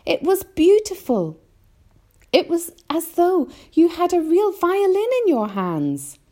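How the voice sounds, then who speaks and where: A woman's voice: very high voice, big pitch swings, slow pace, mellow, voice pushed up, exaggerated voice. A woman voicing a small, curious, polite boy in a storybook, earnest and full of wonder. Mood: awed, fascinated. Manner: earnest, wide-eyed.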